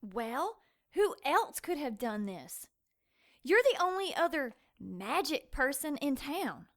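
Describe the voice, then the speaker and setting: High, bright accusatory young woman. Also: sing-song, slow pace, full character voice. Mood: accusing, nervous. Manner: accusatory, confrontational. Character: suspicious, frightened young small-town woman, accusing. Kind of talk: audio drama acting.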